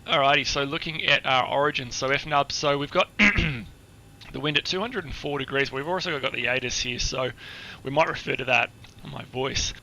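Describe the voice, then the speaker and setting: A hoarse, husky-voiced man giving a chatty, explanatory flight briefing on a live stream, with many filler words. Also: medium pitch, normal speed, sharp tone, a little hiss or hum, rough recording.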